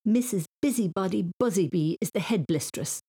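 A woman's voice: medium pitch, lively intonation, moderate pace, mellow, husky. A woman voicing an exasperated storybook mother, stern and weary as she scolds. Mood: scolding.

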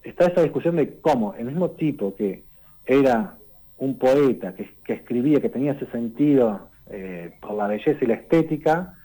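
A man, giving a conversational radio literature column and explaining things unhurriedly, often pausing on "eh" and tagging his sentences with "¿no?". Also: mid-range voice, lively intonation, dark tone, rough recording. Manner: unhurried and explanatory, tags lines with "¿no?" and "eh".

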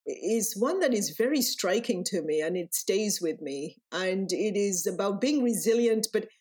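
A woman from Uganda with a Ugandan accent, speaking as a podcast guest in a reflective, measured way. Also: mid-range voice, expressive, darkish tone, slightly rough. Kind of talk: podcast interview.

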